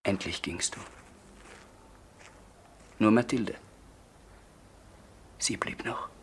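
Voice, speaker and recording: A tormented confessor in a crime radio play. His lowish voice is halting and often falls to a near whisper, on a faintly noisy old recording. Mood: broken, pleading. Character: tormented man confessing a killing to his brother.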